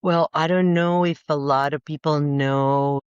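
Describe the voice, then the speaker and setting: A woman's voice: deep voice, steady tone, unhurried, darkish tone, smooth, dry recording, highs cut off. A woman, speaking as an architect guest in a thoughtful, earnest way. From Costa Rica. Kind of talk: reflective interview talk.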